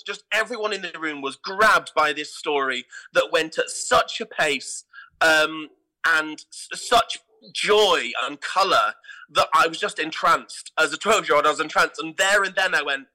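Very bright, high-voiced middle-aged man. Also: normal speed, low-quality recording. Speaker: theatre artistic director, podcast guest. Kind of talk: casual interview anecdotes.

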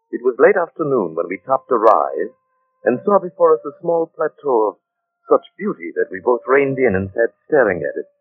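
An actor playing a matter-of-fact gentleman adventurer who narrates his tale in a 1940s radio drama style. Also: lowish voice. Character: matter-of-fact Englishman narrating an African adventure, puzzled friend. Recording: muffled 1940s radio recording.

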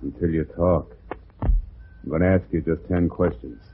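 Low-voiced menacing gangland thug. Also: male voice, fairly even intonation, a little slow. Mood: threatening. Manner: tough, blunt street slang. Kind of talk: 1940s radio acting. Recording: tinny, faintly noisy vintage radio.

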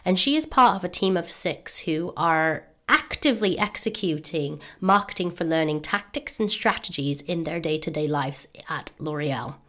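A woman's voice: low voice, lively intonation, moderate pace, hardly any pauses, a bit bright. A woman from the United States with a mixed American and Irish-sounding accent, hosting a podcast interview with an enthusiastic, bubbly manner. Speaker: podcast host.

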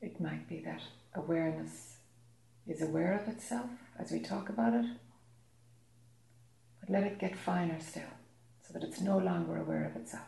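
A woman leading a guided meditation, speaking slowly and softly with long pauses. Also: fairly low pitch, vocal fry. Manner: slow, soft-spoken, long pauses. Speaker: meditation teacher. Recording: echoey room.

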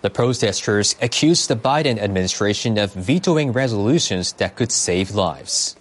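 A man's voice: lowish voice, expressive, normal speed. A man delivering scripted news narration as a reporter.

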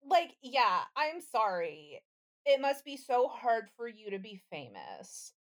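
Female podcast co-host, slightly high voice, dry sardonic bite, blunt casual banter with swearing. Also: wildly swooping pitch, slow pace. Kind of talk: casual comedic chat.

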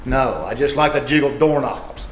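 A man's voice: medium pitch, normal speed, natural voice. A man playing an exasperated, sarcastic father in a 1950s radio comedy, blustering and stammering as he tries to take charge. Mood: sarcastic, weary. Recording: echoey live stage, some noise.

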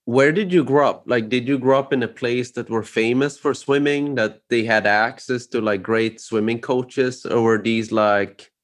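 A man's voice: medium pitch, normal speed, few pauses. A man from Sweden hosting an interview, asking curious, rambling questions peppered with "like" and "you know". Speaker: podcast host. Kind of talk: casual interview chat.

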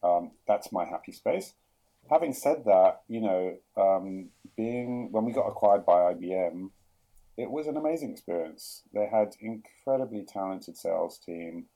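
A middle-aged man with a London accent, talking through his career in a podcast interview, often pausing with fillers and restarting sentences. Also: low voice, lively intonation, gravelly, warm tone, rough recording. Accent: London English. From England. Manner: hesitant, many fillers and restarts. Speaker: guest, product executive.

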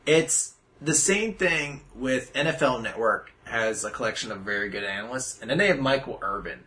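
A man's voice: mid-range voice, expressive, normal speed, pushed and bright. A man co-hosting a podcast, chatting casually and rambling through jokes and mock voices. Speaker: podcast co-host. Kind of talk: casual chat.

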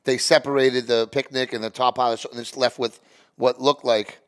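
A man's voice: mid-range voice, a little fast, clean tone. A man from New England co-hosting a casual podcast, bantering and telling stories in a jokey, salty way. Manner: jokey, profane, animated storytelling.

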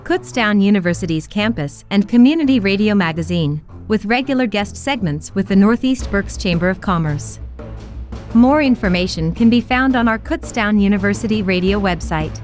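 A woman's voice: medium pitch, expressive, darkish tone. Woman announcer over faint music. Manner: slow and measured. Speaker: station announcer. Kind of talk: scripted radio intro.

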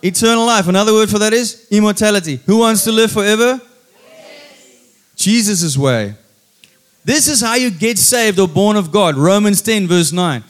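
A man's voice: high voice, normal speed. A man preaching a sermon in an emphatic way, repeating key phrases and putting teasing rhetorical questions to his congregation.

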